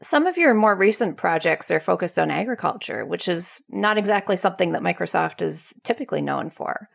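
A woman hosting a podcast, reading a scripted intro and asking measured interview questions. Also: lowish voice, expressive, creaky. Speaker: podcast host.

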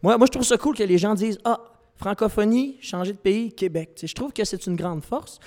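A young man with a Québécois accent, a singer-songwriter speaking earnestly on a panel, often halting and restarting his sentences. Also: high voice, darkish tone, long reverb tail. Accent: Québécois French. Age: young adult. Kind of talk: panel discussion before a live audience.

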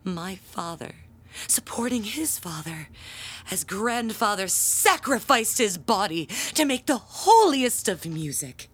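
An actress playing a proud, pompous handbell ringer, telling family lore in grandiose audio drama style. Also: female voice, mid-range voice, very animated, slow pace, husky, exaggerated voice. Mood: solemn pride. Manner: grandiose, theatrical storytelling.

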